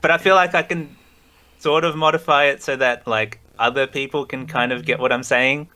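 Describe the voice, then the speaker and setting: A man's voice: mid-range voice, steady tone, moderate pace, pushed and bright, clear, resonant tone. A man from Australia with a mild Australian accent, a guest on a podcast, chatting casually with dry humor. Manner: friendly, dry humor, laughs often. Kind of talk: casual interview chat.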